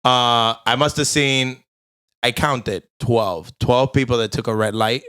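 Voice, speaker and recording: A man's voice: medium pitch, fairly even intonation, unhurried, pushed and bright, clear, resonant tone. A man co-hosting a podcast, telling stories in loose slang and laughing often. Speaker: podcast co-host. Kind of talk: casual chat.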